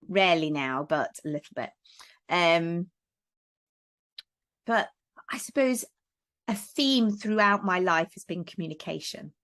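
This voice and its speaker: Middle-aged Welsh-raised woman guest, lower voice, rambling and friendly on a call. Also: a bit bright. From Wales. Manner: chatty, many fillers and restarts. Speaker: guest, parenting coach. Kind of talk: casual interview chat.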